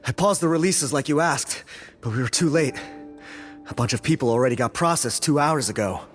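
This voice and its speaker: An actor doing a male subordinate officer in a video game, reporting in a plain, everyday tone. His voice sits at a middle pitch with faint music behind. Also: brisk, lightly acted. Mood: worried. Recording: game audio with soft music.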